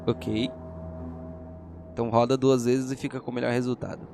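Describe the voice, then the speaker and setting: A man's voice: medium pitch, little pitch movement, moderate pace, mellow. A man with a Brazilian accent narrating a tabletop role-playing game as game master, telling the story dramatically and checking in with players. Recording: music behind the voice.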